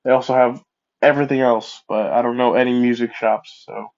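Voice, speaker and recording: A man's voice: medium pitch, steady tone, fairly quick, a little mellow. A man with a cold and a stuffed-up nose, telling a fan story in a rambling, casual way and often correcting himself. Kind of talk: improvised fan-fiction storytelling. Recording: dull lo-fi mic.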